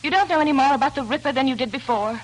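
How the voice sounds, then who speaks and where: An actress playing a spirited, kindly young showgirl with crisp, polite delivery in 1940s radio drama style. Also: sits high, racing, lightly acted, poor audio. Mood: agitated. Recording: old 1940s radio broadcast with music.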